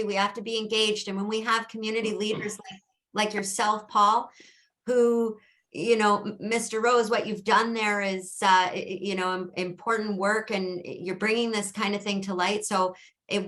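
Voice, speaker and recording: Bright-voiced earnest Canadian woman candidate. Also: mid-range voice. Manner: earnest, many fillers and restarts. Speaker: council candidate. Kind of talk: candidates' debate answer.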